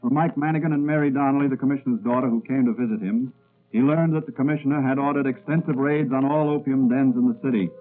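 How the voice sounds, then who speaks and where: A man's voice: medium pitch, fairly even intonation, fast. A man giving dramatic scripted narration in the style of 1940s radio. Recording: narrow-band vintage radio recording. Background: music.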